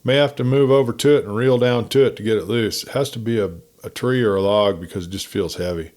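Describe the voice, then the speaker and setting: A man's voice: fairly low pitch, fast, darkish tone, lightly acted. A man voicing a curious, joking angler with a sly, folksy, innocent-acting manner in a story. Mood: rueful.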